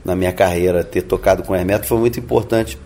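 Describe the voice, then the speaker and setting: A man's voice: low voice, fairly even intonation, quick pace, dark tone, a little hiss or hum. A man from Rio de Janeiro with a Brazilian Portuguese accent, answering interview questions thoughtfully, with many restarts and tag questions. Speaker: interviewed musician.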